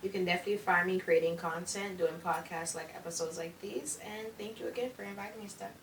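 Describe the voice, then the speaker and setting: A woman's voice: fairly low pitch, fast talker, recorded from a distance, very noisy. A young woman from the United States, a podcast co-host telling stories in casual chat and laughing often. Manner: animated storyteller, laughs often.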